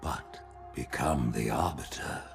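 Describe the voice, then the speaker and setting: A man voicing a scheming old alien high prophet in a video game, deep, gravelly, stately and condescending. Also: slow, soft background music, full character voice. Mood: grave, persuasive. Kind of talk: video game cutscene acting.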